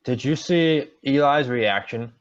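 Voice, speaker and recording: Joking young guy, dull lo-fi sound. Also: medium pitch. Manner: joking, animated, quick asides. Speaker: podcast co-host. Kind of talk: casual sports chat.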